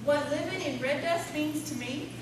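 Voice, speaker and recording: Sassy, folksy townswoman, played by an actress, high voice, teasing comic delivery, distant echoey noisy hall. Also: moderate pace, lightly acted. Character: sassy small-town woman swapping jokes about her town. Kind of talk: live comic radio-play acting.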